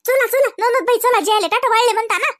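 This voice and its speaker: Woman playing a very high-pitched gossipy village housewife. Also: fast, a bit bright, no room sound. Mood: teasing, hospitable. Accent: Varhadi Marathi. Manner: chatty, gossipy, colloquial. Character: gossipy, complaining village housewife in a comedy cartoon. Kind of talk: comic cartoon village dialogue.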